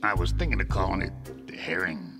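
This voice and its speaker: Pushy streetwise club boss, gravelly, slangy and blunt, music behind, audio comedy. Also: male voice, low voice, expressive, fast talker. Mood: gruff, insistent. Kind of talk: comic audio drama acting.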